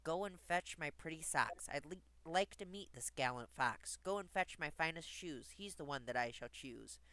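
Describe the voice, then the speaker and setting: A man's voice: a little high, lively intonation, normal speed, sharp tone, dry recording. A man voicing an imperious widowed lady fox, giving eager commands in singsong storybook rhyme.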